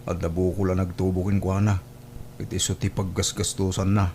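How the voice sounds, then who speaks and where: A man's voice: sits low, level tone, brisk, warm tone, slight background noise. A man acting a weary, dutiful young boyfriend in an Ilocano audio drama, with a subdued, deferential manner. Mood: deferential, troubled.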